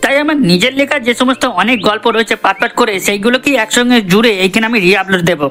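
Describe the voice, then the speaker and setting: A man voicing a bright, high, brisk cartoon character. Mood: earnest. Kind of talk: cartoon character addressing viewers. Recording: voice over background music.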